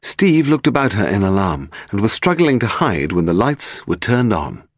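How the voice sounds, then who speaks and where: A man with a British accent and a husky voice, reading scripted fiction as an audiobook narrator. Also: on the low side, sing-song, moderate pace, no echo, clean studio sound.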